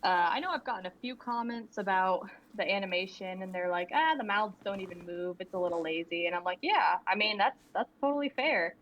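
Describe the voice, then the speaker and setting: A young woman talking candidly and at length, with a relaxed, rambling flow and frequent laughter. Her voice sits in an ordinary middle range. The audio is a little muffled and lo-fi, with a faint hum of ambience behind it. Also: mid-range voice, brisk, hardly any pauses. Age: young adult. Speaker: guest, indie animator and creator. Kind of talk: casual interview answers.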